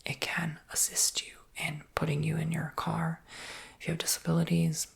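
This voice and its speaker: Whispering American man, breathy and crackly. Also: on the high side, monotone. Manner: soft, unhurried, reassuring explaining. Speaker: ASMR roleplayer as robot salesperson. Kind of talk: whispered ASMR roleplay, improvised sales pitch.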